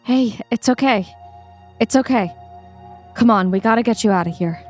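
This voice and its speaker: A woman's voice: fairly high pitch, normal speed, a little mellow, faint music behind, lightly acted. A woman acting in a satirical dystopian adventure audio play. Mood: soothing.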